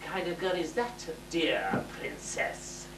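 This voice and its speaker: Woman acting a slow, menacing villain, far off. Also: fairly low pitch, big pitch swings, crowd noise. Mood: sinister. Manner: drawn-out, deliberate. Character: menacing, slow-talking villainous royal advisor, a man. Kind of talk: amateur pantomime stage acting. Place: hall stage, distant microphone. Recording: echoey hall, far off, noisy.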